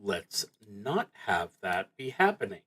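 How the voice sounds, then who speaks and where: Slow-paced, musing man. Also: fairly low pitch, sing-song, pushed and bright, slightly rough. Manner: halting, musing, trails off mid-thought. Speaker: streamer playing a solo tabletop roleplaying game. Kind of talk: unscripted solo game play narration, thinking aloud.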